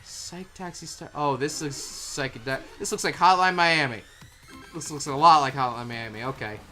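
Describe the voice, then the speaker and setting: A person chatting casually while playing a game and reading out its text, animated, sweary and easily amused. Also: mid-range voice, normal speed, faint music behind. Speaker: player and fan dub voice reader. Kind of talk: casual gaming chat mixed with reading tutorial text.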